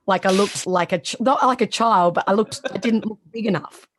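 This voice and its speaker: A woman in her thirties with a raspy voice and a strong Australian accent, talking bluntly as a guest in a casual interview. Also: mid-range voice, a little fast. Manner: blunt, animated, swears freely. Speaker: sports reporter, guest.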